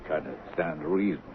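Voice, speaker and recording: An actor playing a smooth-talking but evasive, hesitant soap-opera studio boss in a radio drama. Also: male voice, deep voice, heavily acted. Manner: hesitant, halting. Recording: tinny, noisy 1970s radio recording.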